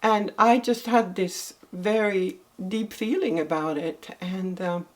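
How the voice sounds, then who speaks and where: Brief: older Swedish-accented woman, soft unhurried storyteller, mid-range voice. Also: mid-range voice. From northern Sweden. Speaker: interview guest. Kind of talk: reflective life-story interview.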